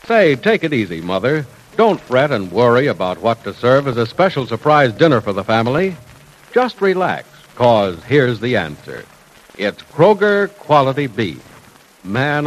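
An old-time radio announcer, a man delivering a hearty, folksy sales pitch. His voice rises and falls in big swings as he sells and introduces the show. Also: rough recording.

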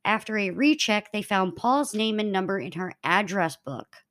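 Young woman podcast co-host, mid-pitch, narrating a true-crime case from notes, a few restarts. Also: animated, moderate pace, a bit bright.

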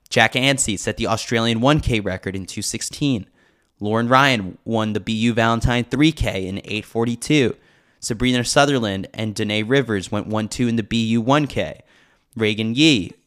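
A man's voice: lowish voice, fairly bright tone, smooth. A man reading a scripted sports newsletter as a podcast host, with wry, opinionated commentary.

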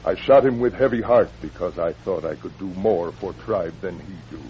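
Man acting a deep, solemn, defeated warrior chief. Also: warm tone, lightly acted. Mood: resigned, solemn. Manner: halting broken English, grave. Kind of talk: old-time radio western acting. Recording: muffled, noisy vintage radio recording.

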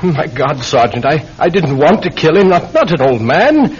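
An actor playing a distraught military airman in a radio drama, halting and stammering as he speaks. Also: fairly high pitch, big pitch swings, a little hiss or hum. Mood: anguished. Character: anguished military airman confessing to a killing. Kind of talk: old-time radio drama acting. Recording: dull old radio recording.

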